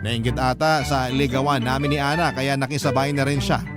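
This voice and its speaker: A man's voice: medium pitch, flat delivery, fairly quick, over loud music, lightly acted. A man playing a hopeful, lovestruck young letter sender, narrating his own love story in an earnest, sentimental radio drama style. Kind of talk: scripted dramatic first-person narration.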